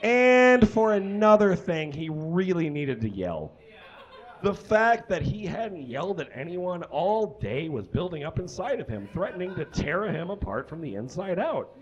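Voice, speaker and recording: Animated, higher-pitched man reading comically. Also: measured pace, muffled recording. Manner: dramatic, exaggerated delivery. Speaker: live comedy reader. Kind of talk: theatrical comic reading of a story aloud. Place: small indoor stage with curtains and brick.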